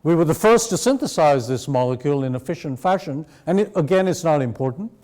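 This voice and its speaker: A man raised in Sri Lanka giving a measured, explanatory university lecture to an audience. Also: on the high side, expressive, darkish tone. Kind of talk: academic lecture.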